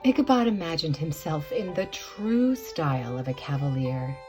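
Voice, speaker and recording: A woman narrating a storybook tale for a puppet show in a theatrical, expressive way, savoring the words. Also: fairly low pitch.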